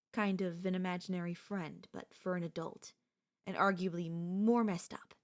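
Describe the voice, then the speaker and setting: A woman's voice: medium pitch, lightly acted. Woman acting a lovestruck, obsessive yandere stalker woman. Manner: theatrical, coaxing, addresses listener as darling. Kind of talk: ASMR audio roleplay, girlfriend-style monologue.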